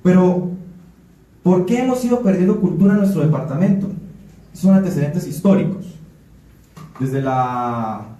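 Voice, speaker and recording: A man's voice: a little high, lively intonation, measured pace, long pauses, warm tone. A man from Colombia giving an earnest talk at a forum, often restarting and repeating words. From Colombia (Putumayo). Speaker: panel speaker. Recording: echoey room, speaker far from mic.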